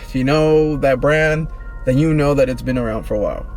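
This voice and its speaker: A man in his twenties, vlogging in a relaxed, rambling, slangy way, answering questions about himself. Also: medium pitch, a little fast, soft background music. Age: 20s. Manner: laid-back, rambling, slangy. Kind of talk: casual get-to-know-me chat.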